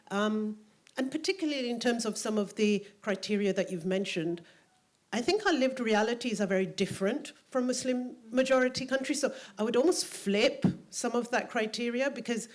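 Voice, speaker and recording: Articulate woman lecturer asking. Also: mid-range voice. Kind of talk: public seminar question.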